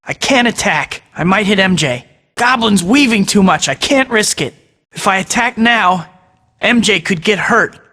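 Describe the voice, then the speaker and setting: A man's voice: sits high, animated, a little slow, sharp tone, long reverb tail, exaggerated voice. An actor voicing a young male superhero who tosses out sarcastic taunts in a video game. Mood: muttering. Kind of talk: game voice clips.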